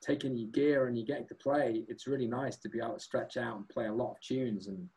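A man who sings and plays guitar in a band, chatting as a guest in a relaxed, rambling, self-deprecating way. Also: medium pitch, fast, rough recording. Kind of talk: casual chat.

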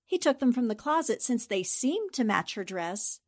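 A woman's voice: on the high side, very animated, moderate pace. A woman playing a shrewd, confident newspaper reporter and amateur sleuth, theatrical and assertive in 1940s radio drama style. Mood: confident, triumphant.

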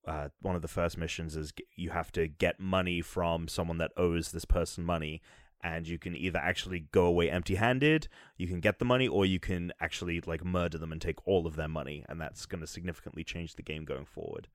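A man with a very low voice chatting about games on a podcast. He rambles a little, restarting sentences as he goes. The sound is dry and close. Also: steady tone, a little fast, no room sound. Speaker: podcast co-host, game reviewer. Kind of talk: casual podcast chat.

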